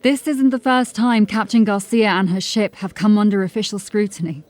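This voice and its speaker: A diligent constable in a dark fantasy audio drama, acted by a woman, with a middle-pitched voice. She reports in an articulate, measured way with a dry edge of wit. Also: fairly even intonation, no room sound, lightly acted. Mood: dry, informative. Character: sharp, diligent, drily witty female police constable.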